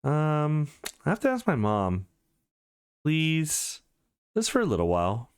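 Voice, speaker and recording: A man's voice: a little high, huge pitch swings, takes it slowly, clear, resonant tone, dry recording, clean studio sound, voice pushed up, heavily acted. A man voicing a timid, wounded young schoolgirl in a visual novel, hesitant and pleading.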